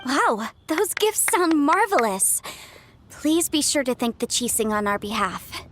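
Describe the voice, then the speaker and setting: A woman's voice: high-pitched, lively intonation, a little slow, fairly bright tone. A woman voicing a clever young legal adviser in a game, breezy and playful as she justifies herself. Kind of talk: game voice acting. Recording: studio voice with faint background music.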